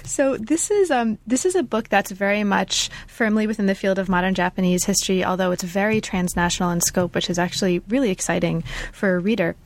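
A woman's voice: mid-range voice, fairly quick, hardly any pauses. A woman hosting an academic book interview, speaking with enthusiastic, effusive praise and frequent fillers. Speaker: podcast host.

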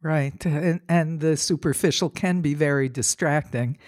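An interviewer asking measured, deliberate questions. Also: medium pitch, darkish tone, no echo, studio quality.

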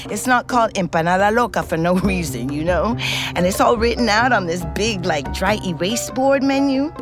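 A woman's voice: medium pitch, lightly acted. An actress playing a foul-mouthed, middle-aged ex-convict, telling her story in a confiding, conversational way. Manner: streetwise, blunt, confiding. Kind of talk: scripted first-person narration, conversational.

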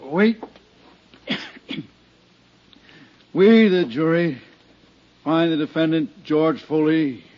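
A solemn jury foreman, speaks formally and very slowly in a raised, halting voice. It is vintage radio drama on a dull, slightly hissy recording. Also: fairly high pitch, animated. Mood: solemn, nervous. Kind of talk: 1950s radio drama acting.

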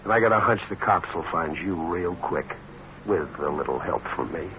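Low-voiced scheming conman, old radio. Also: lively intonation, normal speed. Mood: coolly calculating. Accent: American. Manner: terse, hard-boiled slangy talk. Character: smooth scheming conman, calculating and cold-blooded. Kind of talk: vintage American crime radio drama acting. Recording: muffled, noisy old radio recording.

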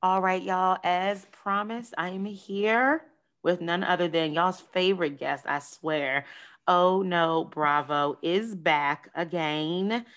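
A woman hosting a podcast in a casual, playful, animated way, calling listeners "y'all" and "honey.". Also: fairly low pitch, slow, smooth. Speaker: podcast host. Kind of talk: casual chat.

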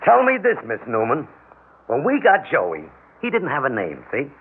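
An actor playing a stubborn, wounded foster father, an ordinary working man, in a halting, tense radio drama style. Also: medium pitch, wildly swooping pitch. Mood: bitter, confrontational. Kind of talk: classic radio drama acting. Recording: tinny, noisy old radio broadcast.

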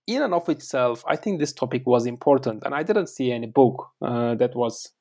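A man's voice: mid-range voice, lively intonation, normal speed, darkish tone. A man from Turkey with a Turkish accent, a book author talking in a podcast interview, hesitant with many fillers and restarts. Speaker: author guest.

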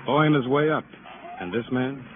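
An actor playing a talkative, wry and weary police sergeant in a 1950s radio crime drama, speaking in short colloquial sentences. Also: male voice, mid-range voice, moderate pace, lightly acted. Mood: chatty, streetwise. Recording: noisy, narrow-band vintage radio recording.

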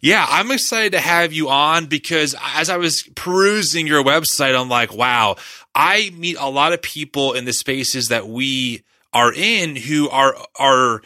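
A man's voice: fairly high pitch, a little slow, pushed and bright, no echo. A man hosting a podcast in an upbeat, conversational way, casually introducing and interviewing. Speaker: podcast host.

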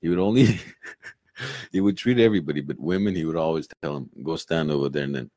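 A man's voice: very deep voice, brisk, darkish tone, no echo. A man in a casual group chat who tells anecdotes with chuckles. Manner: storytelling, chuckling.

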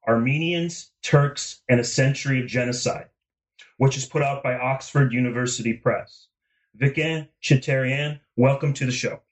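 Male host, polite halting interviewer, mid pitch, sits back from the mic. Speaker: podcast host. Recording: voice distant from the mic.

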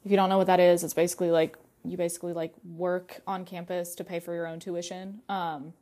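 A woman's voice: lowish voice, level tone, fairly quick, warm tone. A young adult woman hosting a podcast, talking casually about her own life, full of "like" and "um". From United States. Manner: chatty, many fillers and "like". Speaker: podcast host. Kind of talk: casual personal monologue.

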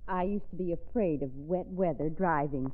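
Lady acting as reassured mom in 1940s radio ad, lowish voice, reverby tinny. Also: moderate pace. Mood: relieved. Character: reassured mother giving motorist testimonial. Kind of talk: 1940s radio advertising testimonial.

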